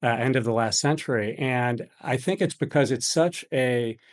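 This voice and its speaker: A man's voice: mid-range voice, normal speed. A middle-aged American man, a physician from Buffalo, answering interview questions conversationally in hesitant, meandering phrases. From Buffalo, New York, United States.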